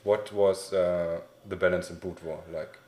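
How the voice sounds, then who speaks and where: A man's voice: deep voice, steady tone, measured pace, dark tone. A young man with a German accent interviewing a guest in English, friendly and hesitant with many fillers. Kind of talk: casual interview. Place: small indoor room, sitting on a couch. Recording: echoey room.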